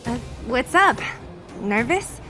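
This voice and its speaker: A woman's voice: a little high, sing-song, slow, music in the background. A woman playing a bold, brash young female fighter in a video game cutscene. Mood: uncertain.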